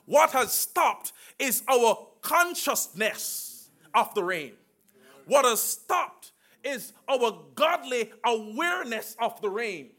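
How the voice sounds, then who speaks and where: High, bright-voiced male preacher. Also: big pitch swings. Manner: emphatic preaching with call-and-response, sudden slow drawn-out phrases and shouts. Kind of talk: sermon.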